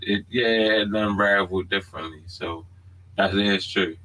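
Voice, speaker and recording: A man's voice: low-pitched, fairly even intonation, normal speed, bright, forceful tone, rough recording. A man with a Baltimore accent chatting casually as a podcast co-host, often checking in with "you know what I mean". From Baltimore, United States. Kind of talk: casual unscripted chat.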